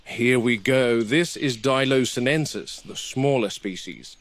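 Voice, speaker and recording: Male park warden, played by an actor for found-footage drama: mid-pitched, watchful, explains things plainly and methodically. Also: measured pace, lightly acted. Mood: measured, explanatory. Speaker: game warden narrating a video log. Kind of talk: found-footage documentary narration.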